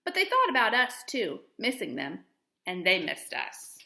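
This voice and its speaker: A woman teacher reading a storybook aloud to children with expressive, deliberate storytelling phrasing. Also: mid-range voice, gravelly, roomy sound, a little hiss or hum.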